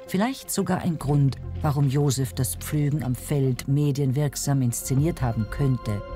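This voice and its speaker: Documentary narrator, medium-pitched, scripted delivery over a prominent music bed. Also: warm tone.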